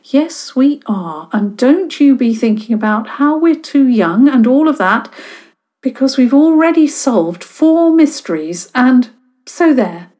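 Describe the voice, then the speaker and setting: A feisty young girl detective , voiced by a woman storyteller, with a bright, raised voice. She speaks up boldly and insistently, a touch higher than the narration. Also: lively intonation, measured pace. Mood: indignant. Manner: indignant, earnest.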